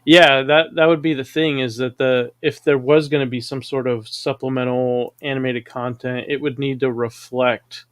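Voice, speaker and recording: Man hosting a relaxed livestream chat. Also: medium pitch, normal speed, hardly any pauses. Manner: relaxed, reads comments aloud in a conversational way. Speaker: show host reading viewer comments.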